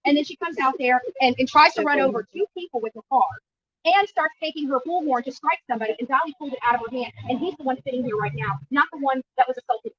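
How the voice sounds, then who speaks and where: High-pitched, fast-talking indignant woman activist. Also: a bit bright, slightly rough, no echo, recorded from a distance, lo-fi sound. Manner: emphatic, indignant, repetitive phrasing. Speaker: YouTube livestreamer and missing-person activist being interviewed. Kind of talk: impromptu press interview. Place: at a county jail, press interview.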